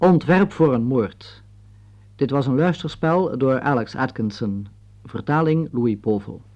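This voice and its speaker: A man's voice: mid-range voice, darkish tone. Een man leest als omroeper formeel en afgemeten de aftiteling van een hoorspel voor. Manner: measured, formal list reading. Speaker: announcer reading closing credits. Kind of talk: formal scripted radio announcement. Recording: dull, muffled vintage radio recording.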